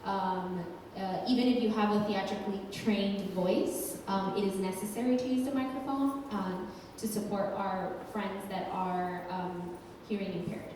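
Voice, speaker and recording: A woman's voice: medium pitch, fairly even intonation, measured pace, background noise. A woman from Colombia, an executive director, talking thoughtfully to a live audience with many ums and restarts. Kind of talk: panel talk to a live audience. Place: large hall with audience. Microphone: handheld microphone. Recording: echoey hall from far off.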